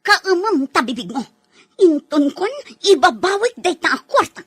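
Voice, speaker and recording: A woman playing a sharp-tongued, haughty rich employer in an audio drama, talking down in commanding tones. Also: extremely high pitch, sing-song, moderate pace, no room sound, full character voice. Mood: scolding. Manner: scolding, commanding.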